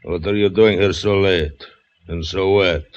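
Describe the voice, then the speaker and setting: An actor playing a menacing, sardonic criminal in a radio drama, mocking and theatrical, on a lo-fi recording. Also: male voice, sits low, fairly even intonation, a little slow. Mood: sly, mocking. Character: menacing, sardonic male criminal with a knife, bullying. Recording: dull, lo-fi old radio recording.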